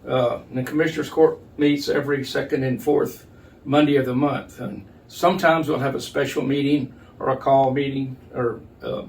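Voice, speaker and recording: An older man, an official being interviewed, explaining things in a measured, halting, plain-spoken way. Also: mid-range voice, steady tone, darkish tone. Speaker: county judge, interview guest. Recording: distant mic, noticeable background noise.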